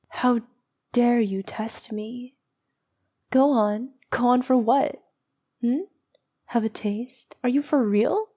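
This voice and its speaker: Vampire princess, played by a woman: regal, teasing, needy; higher pitch, unhurried intimate ASMR delivery with whispery edges. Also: warm tone, full character voice. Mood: alarmed, tempted. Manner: slow, teasing, regal, often dropping to breathy whispers. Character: noble but needy flirtatious vampire princess.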